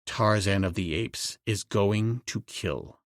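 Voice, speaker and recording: A man doing a grim, low-voiced jungle avenger. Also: no echo, studio quality. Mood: menacing. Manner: slow, low, grim speech. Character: stern wild jungle man, grave avenger.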